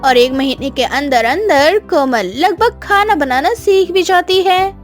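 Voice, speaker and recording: High-pitched woman narrating a story. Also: lively intonation, measured pace, soft background music.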